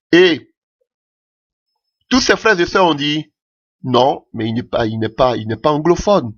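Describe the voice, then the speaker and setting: A man's voice: on the high side, fairly bright tone, husky, lo-fi sound. A man preaching a sermon in French that is not his mother tongue, impassioned and punctuating his points with "Alléluia".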